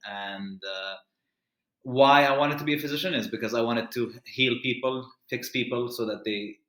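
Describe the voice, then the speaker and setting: A man's voice: mid-range voice, pushed and bright. A man who grew up in the Middle East talks as a physician guest on a podcast. He explains things earnestly and steadily, often stopping to restart a phrase. The room around his voice has a noticeable echo. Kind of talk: podcast interview.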